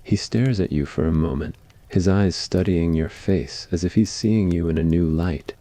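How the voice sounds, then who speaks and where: A man narrates scripted ASMR fiction. His voice is extremely low in pitch, with a dark, relaxed tone suited to intimate storytelling. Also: moderate pace, dry recording.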